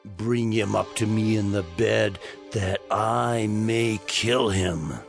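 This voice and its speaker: A man's voice: fairly low pitch, steady tone, takes it slowly, gravelly, soft background music. An actor playing a jealous, murderous older king in dramatized scripture, commanding and accusatory. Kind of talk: dramatized scripture audio acting.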